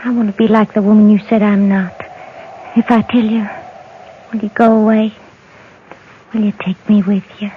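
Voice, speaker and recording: A woman acting a young, lonely and disturbed frontier girl in a radio western, childlike and pleading in simple, halting phrases. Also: medium pitch, flat delivery, natural voice. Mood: uneasy, pleading. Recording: tinny, noisy old radio recording.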